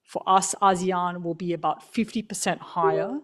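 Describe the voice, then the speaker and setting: Loud-voiced woman answering on a panel. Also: lowish voice, fairly even intonation, moderate pace. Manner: says she has a loud voice; zooms out to set the scene, with fillers. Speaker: panelist, energy agency regional head. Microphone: stage microphone.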